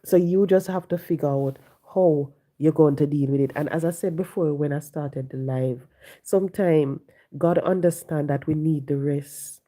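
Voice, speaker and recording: A woman, hoarse and congested from the flu, talking on a casual live stream, preaching and repeating her points to viewers from home. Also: sits low, mellow. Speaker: livestream host. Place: home, sitting.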